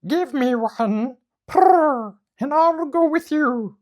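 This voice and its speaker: A man's voice: extremely high pitch, lively intonation, takes it slowly, pitched above the performer's own voice, exaggerated voice. A man voicing a pheasant bird in a storybook, trilling and drawing out his words. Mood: lilting. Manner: trilling, drawn out.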